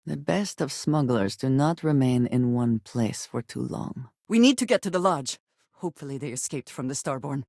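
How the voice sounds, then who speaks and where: An actress playing a guarded, earnest woman space adventurer in a video game, speaking in measured, formal phrases with few contractions and a dry wit. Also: extremely low pitch, a little mellow, no room sound. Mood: urgent, firm, then earnest, troubled. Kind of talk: video game character acting.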